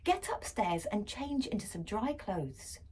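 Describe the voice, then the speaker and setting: A woman voicing a brisk, cross mother scolding in an exasperated, bossy way in a children's story. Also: mid-range voice, big pitch swings, darkish tone, slightly rough, voice pushed up.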